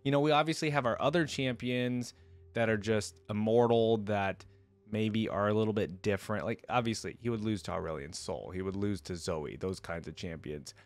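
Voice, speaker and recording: A man's voice: lowish voice, normal speed, no room sound. A man hosting a reaction video, commenting casually and speaking to viewers.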